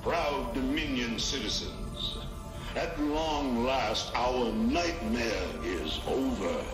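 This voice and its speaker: A man playing a pompous, cold tyrant emperor in a video game, giving a grandiose, measured televised address. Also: medium pitch, lightly acted. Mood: smug triumph. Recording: echoing hall, long reverb, loud music.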